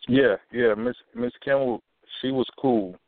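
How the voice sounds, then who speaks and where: A young man from Milwaukee talks easily as an interview guest, stopping and restarting his thoughts with lots of "you know." The sound is thin and phone-like, with no room echo at all. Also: mid-range voice. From Milwaukee, USA. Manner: relaxed, halting with many restarts and "you know". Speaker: rapper and actor guest. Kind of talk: casual interview talk. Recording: tinny phone-like sound, dry.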